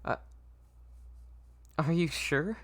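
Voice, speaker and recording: A man's voice: a little high, very slow, fairly bright tone, voice pushed up, full character voice. A man voicing a frightened, anxious young woman, hesitant and stammering in a dramatic script reading. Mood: distressed, unsure. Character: frightened, anxious young woman patient being turned into doll.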